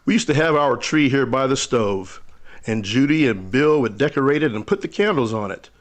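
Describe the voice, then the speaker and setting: An actor playing a kindly older rural storekeeper in a radio play, folksy, halting, hospitable and a little wistful. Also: male voice, medium pitch, expressive, natural voice. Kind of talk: old-time radio drama acting.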